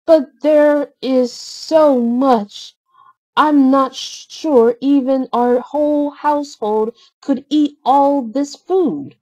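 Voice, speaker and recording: A woman's voice: high voice, extremely slow, a little mellow, dry recording, pitched above the performer's own voice, rough recording. A woman voicing a sweet, happy young bride princess in a storybook, gushing and earnest. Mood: delighted. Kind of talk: storybook character reading.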